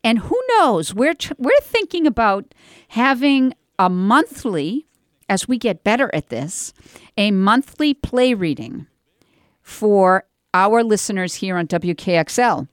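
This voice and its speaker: A woman's voice: mid-range voice, animated, takes it slowly, no echo, studio quality. A woman hosting a chatty local radio show, talking informally in an effusive, exclaiming, encouraging way. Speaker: radio talk show host. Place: radio studio.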